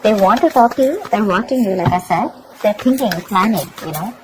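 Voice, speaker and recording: A woman's voice: medium pitch, lively intonation, a little fast, background noise. A woman giving a tarot reading to her viewers, emphatic and repetitive, often checking in with "okay?". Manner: emphatic, repeats herself, keeps asking "okay?". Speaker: tarot reader.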